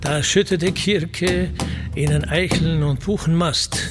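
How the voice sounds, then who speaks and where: A male actor playing a seafaring Greek hero who recounts his wanderings in solemn, measured epic verse for radio, in a deliberate declamatory way with pauses. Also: on the high side, steady tone, music in the background. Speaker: actor reciting as narrator.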